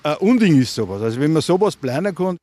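A man's voice: fairly high pitch, big pitch swings, fast, mellow, no room sound. An older man, a local resident answering casually in an interview.

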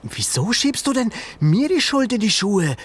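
Guy doing a confused kid, pitched up a bit, breathy tone. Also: big pitch swings, unhurried, exaggerated voice. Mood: uneasy. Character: young boy, confused and nervous.

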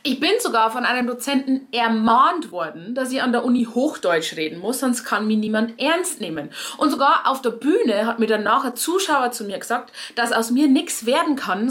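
Bavarian woman, ironic and lively. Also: fairly high pitch. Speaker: stage performer and comedian. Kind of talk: scripted radio commentary.

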